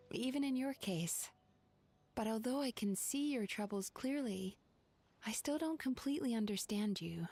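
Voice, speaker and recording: A woman voicing a gentle young female spirit in a video game, a caring psychologist who speaks softly and reflectively. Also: medium pitch, vocal fry. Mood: tender, calm. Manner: soft-spoken, reflective, reassuring, sighing. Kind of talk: video game character acting.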